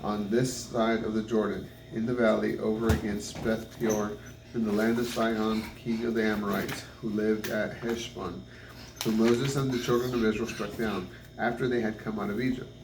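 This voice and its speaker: A man reading the Bible aloud in measured phrases, occasionally stumbling over words. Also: fairly low pitch, little pitch movement. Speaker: scripture reader. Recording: roomy, distant mic, noisy background.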